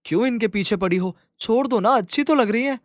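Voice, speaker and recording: A woman's voice: fairly high pitch, brisk, dry recording, clean studio sound, natural voice. A woman voicing a playful little boy, a teasing and coaxing younger brother, in a children's cartoon story.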